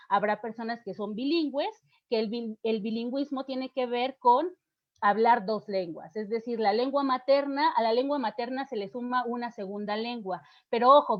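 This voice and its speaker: A woman with a Mexican accent lectures students, raising questions and answering them herself. Her voice sits fairly high, and she often restarts words as she thinks aloud. The recording sounds dull and muffled, like lo-fi lecture audio. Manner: explanatory, poses questions and answers them, frequent fillers and restarts. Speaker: literature teacher giving a lecture.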